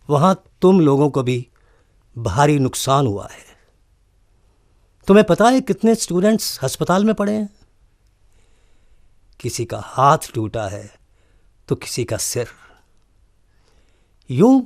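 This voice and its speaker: Man acting an earnest, slow-speaking college principal. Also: mid-range voice. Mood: anguished reproach. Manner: emphatic rhetorical questioning with deliberate pauses. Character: earnest, non-violent college principal, pleading and reproachful. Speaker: actor. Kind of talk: radio drama acting.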